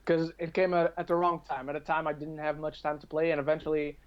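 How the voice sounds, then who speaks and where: A man from Portugal with a moderately high voice talks in a casual podcast style. He is chatty and thinks out loud as he goes. The sound is a little dull and lo-fi. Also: level tone, fast talker, clear, resonant tone. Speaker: podcast speaker. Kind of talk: casual chat about video games.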